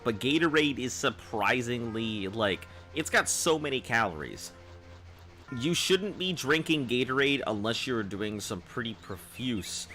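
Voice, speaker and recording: Man, baritone, game streamer, casual rambling chat, bright tone, faint music behind. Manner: rambling, loose, chuckling at chat.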